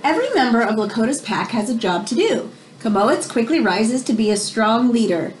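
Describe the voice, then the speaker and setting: A woman in her thirties reads to children in a fairly high, very animated voice. Her delivery is teacherly, moving between storytelling and explanatory asides. It is a home recording in a small room, with some background noise. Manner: expressive, teacherly, pausing to explain words. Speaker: children's picture book reader.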